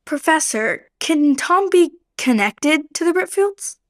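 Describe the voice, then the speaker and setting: A woman's voice: sits high, expressive, slow, a bit bright, dry recording, clean studio sound, full character voice. A woman playing a determined young girl in a radio drama, earnest and direct.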